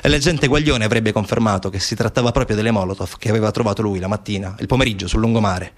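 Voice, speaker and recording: A man's voice: on the low side, fast talker. Male theatre storyteller, dramatic and conversational. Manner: dramatic, conversational, with repeated rhetorical questions. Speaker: stage actor and storyteller. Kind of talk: theatrical narrative monologue.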